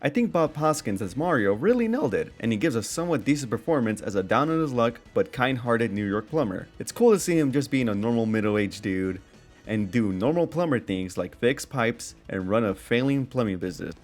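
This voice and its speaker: Animated male film reviewer over music. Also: medium pitch, a little fast. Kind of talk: casual scripted video-essay narration. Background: background music under voice-over.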